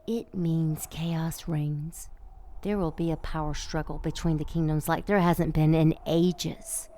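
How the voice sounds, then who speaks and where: A woman's voice: low voice, steady tone, unhurried, dark tone, a little hiss or hum. An actress playing a rebellious, lustful female demon in an audio drama, sardonic and teasing, purring some words breathily. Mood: defiant.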